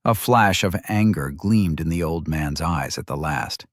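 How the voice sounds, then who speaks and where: A man's voice: extremely low pitch, animated, measured pace, dry recording, studio quality. A man reading scripted fantasy narration as an audiobook narrator.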